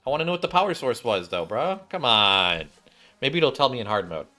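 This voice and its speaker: A man doing casual, slangy gameplay commentary, thinking out loud as he plays. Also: mid-range voice, sing-song, brisk. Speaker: gaming streamer. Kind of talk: casual gameplay commentary, reading dialogue aloud. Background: game music.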